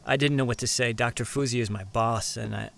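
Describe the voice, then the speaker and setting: Nervous, very creaky-voiced young man. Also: mid-range voice, steady tone, fairly bright tone. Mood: apologetic, nervous. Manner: halting, deferential. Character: apologetic, nervous young man visiting an elder. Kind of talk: naturalistic audio drama acting.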